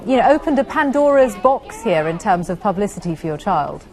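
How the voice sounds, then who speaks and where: A woman's voice: mid-range voice, big pitch swings, a little fast, a little mellow, soft background music. A woman presenting the news in a studio and interviewing a guest, polished and lightly amused.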